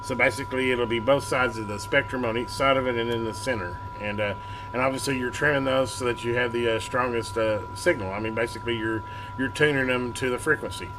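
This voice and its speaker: A man's voice: medium pitch, steady tone, a little fast. A man hosting a hands-on electronics repair video, explaining step by step in an informal way as he works. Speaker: host of a hobby electronics repair channel. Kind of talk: casual hands-on demonstration. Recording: clear background noise.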